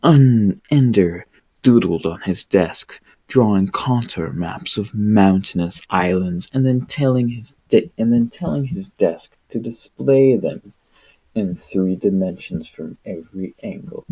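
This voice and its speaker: A man streaming solo, reading aloud and chatting casually in an unhurried, rambling, wry manner. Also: lowish voice, animated, mellow, slightly rough. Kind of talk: audiobook-style reading and casual livestream chat.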